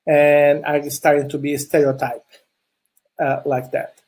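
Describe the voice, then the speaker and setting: A man's voice: a little high, flat delivery, takes it slowly, darkish tone. A middle-aged man from Chile whose first language is Portuguese, speaking with a Brazilian Portuguese accent. He gives a friendly conference presentation with many filler sounds and restarts. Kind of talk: presentation talk.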